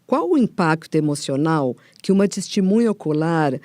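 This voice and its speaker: A Brazilian woman presents in a soft-toned, mellow voice with very little room sound. She reads scripted documentary narration and puts questions as an interviewer. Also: low-pitched, sing-song, moderate pace, no room sound, clean studio sound.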